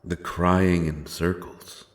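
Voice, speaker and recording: Guy acting a brooding doomed dark fantasy wanderer, really deep voice, slow n solemn, lots of heavy pauses. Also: little pitch movement. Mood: uneasy, brooding. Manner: brooding, solemn, halting delivery with weighty pauses. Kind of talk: dramatic dark fantasy audio roleplay acting.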